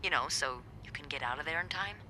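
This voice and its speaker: A young hotel receptionist who teases her friend with a dry, knowing wit. Her voice sits low but sounds bright and forward. A faint hiss runs under the game audio. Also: animated, quick pace, heavily acted. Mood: wry. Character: wry, teasing young woman hotel receptionist Beth. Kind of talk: video game voice acting.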